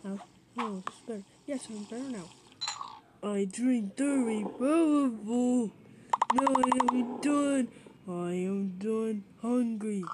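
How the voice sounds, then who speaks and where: A woman's voice: on the high side, slow. A woman improvising an amateur fan dub of a cartoon, repeating short exclamations over and over. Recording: noisy amateur recording with clicks.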